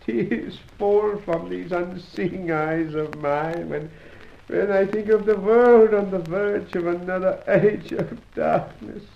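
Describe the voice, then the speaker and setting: A man's voice: high voice, slow pace, creaky, few pauses, dark tone, exaggerated voice. An actor playing a dying, blind old scientist in a radio adventure serial, mournful, remorseful and formal in his phrasing. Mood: agitated. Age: older. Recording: dull, echoey room.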